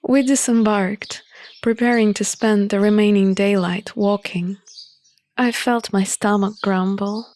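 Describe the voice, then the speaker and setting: A woman reading a visual novel aloud as an expressive narrator of a playthrough. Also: mid-range voice, unhurried.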